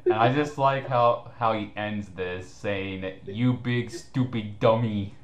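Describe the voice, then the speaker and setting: Low-pitched sarcastic man, echoey room. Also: takes it slowly, heavy background noise. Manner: sarcastic, chuckling, profane. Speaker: co-host reading his written replies to comments. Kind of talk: casual mocking commentary.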